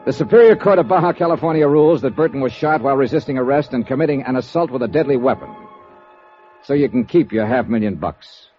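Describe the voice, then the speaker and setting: An actor playing a wry, tough American insurance investigator with an American accent, dry and sardonic in a 1950s radio drama. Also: male voice, mid-range voice, expressive, fast talker. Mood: brisk, matter-of-fact. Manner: dry, crisp, sardonic delivery. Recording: dull 1950s radio recording with music.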